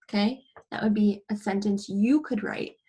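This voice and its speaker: A woman teaching a children's English lesson, explaining in simple, deliberate phrases and posing questions to her pupils. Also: medium pitch, steady tone, mellow, husky, poor audio.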